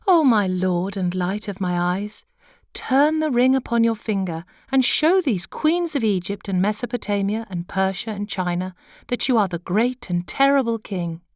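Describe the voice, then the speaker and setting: A woman's voice: mid-range voice, animated, moderate pace, darkish tone, dry recording, studio quality, close to an everyday voice. A woman voicing a devoted queen who speaks tenderly and formally in a storybook tale. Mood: devoted, urging. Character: beautiful queen, devoted, gently urging wife.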